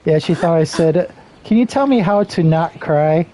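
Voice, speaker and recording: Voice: medium pitch, lively intonation, moderate pace, mellow. A streamer giving casual live commentary while playing a game.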